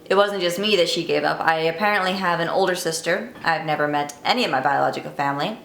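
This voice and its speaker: A woman's voice: low voice, fast, vocal fry, a bit bright, a little hiss or hum. A twenty-year-old woman vlogging, telling her life story to camera in a candid, wry, chatty way. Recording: echoey room, faint ambience.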